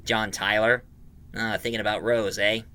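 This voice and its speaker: A man giving an informal, emphatic review of an audio drama, recapping it in a halting way. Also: fairly low pitch, little pitch movement, sharp tone, clean tone.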